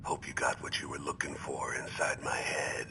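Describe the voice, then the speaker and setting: A man voicing a cold, menacing mercenary villain in a video game, gravelly and breathy. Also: very deep voice, fairly even intonation, normal speed, noisy background. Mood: cold menace.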